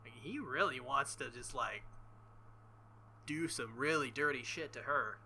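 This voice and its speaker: A man reading a visual novel aloud with casual commentary, halting and embarrassed, often breaking into nervous laughter. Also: medium pitch, sharp tone, a little hiss or hum. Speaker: let's play host.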